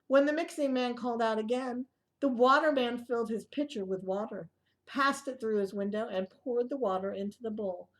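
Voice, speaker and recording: Higher-voiced woman reading to young children. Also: low-quality recording. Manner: expressive, child-directed, pausing to ask listeners questions. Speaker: early childhood storyteller reading a picture book aloud. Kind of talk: storybook read-aloud for young children with asides.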